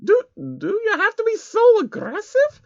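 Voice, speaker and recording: A man voicing a timid young female unicorn in a squeaky, hesitant, stammering falsetto. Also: wildly swooping pitch, unhurried, gravelly, no room sound, muffled recording, heavily acted. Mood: frightened.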